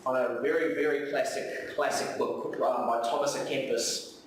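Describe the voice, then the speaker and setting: A man's voice: mid-range voice, fairly even intonation, moderate pace. A man with a South African accent, a native Afrikaans speaker, preaching earnestly with repeated phrases and rhetorical questions. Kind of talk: sermon with reflective readings. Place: church hall, live service. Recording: very echoey room, distant mic, faint noise.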